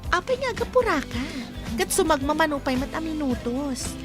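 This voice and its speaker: A woman acting a chatty, animated character in an Ilocano radio comedy drama. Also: high voice, normal speed, over music.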